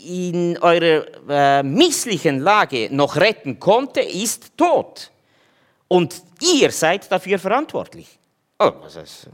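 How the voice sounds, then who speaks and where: A man giving an informal sermon in a conversational way, hesitating often with fillers. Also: on the high side. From German-speaking region. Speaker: preacher.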